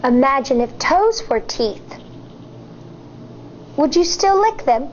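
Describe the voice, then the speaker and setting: An American woman in her thirties, a teacher reading a picture book aloud to children in an expressive, deliberate way. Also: sits high, highs cut off, a little hiss or hum. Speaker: elementary school teacher.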